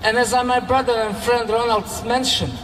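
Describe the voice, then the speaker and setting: A man from Bosnia with a Bosnian accent giving a slow, measured rally speech that rises to fervent, raised passages. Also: extremely high pitch, fairly even intonation, a bit bright. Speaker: speaker at a solidarity rally. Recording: echoey public-address sound from far off, music behind.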